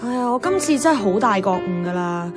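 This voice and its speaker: An actress playing a self-deprecating, wistful young career woman in a Hong Kong Cantonese radio drama, expressive and colloquial with English words mixed in. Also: medium pitch, fast, over music, natural voice. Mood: exasperated. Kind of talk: radio drama dialogue and monologue.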